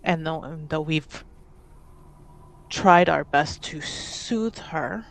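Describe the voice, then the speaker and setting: A woman playing a grieving grandchild who pleads earnestly and haltingly in a tabletop roleplaying game. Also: deep voice, vocal fry, exaggerated voice, poor audio. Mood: earnest pleading. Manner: slow, halting, earnest delivery. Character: Ade, sincere, grieving grandchild pleading with an estranged relative.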